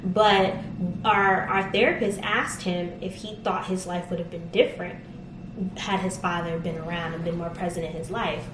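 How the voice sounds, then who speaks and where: A woman's voice: fairly low pitch, steady tone, moderate pace, reverberant, background noise. A woman around thirty co-hosting a casual couple's vlog, chatty and full of fillers, asking her husband questions. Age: 30s. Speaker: vlog co-host.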